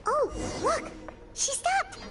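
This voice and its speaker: Game role: tiny excitable fairy companion, very high childlike squeak, music underneath. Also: female voice, takes it slowly, distant mic, exaggerated voice. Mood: excited, alarmed. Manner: refers to self in third person. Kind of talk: anime-style video game voice acting.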